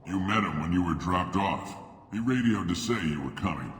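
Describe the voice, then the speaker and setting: An actor playing a plain, informal mercenary sergeant in a video game, giving a matter-of-fact briefing. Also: male voice, low voice, expressive, normal speed, distant mic, a little hiss or hum. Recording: roomy hall reverb.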